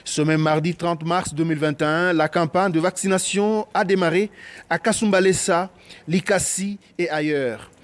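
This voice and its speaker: A man delivering a steady, factual news report. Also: a little high, moderate pace.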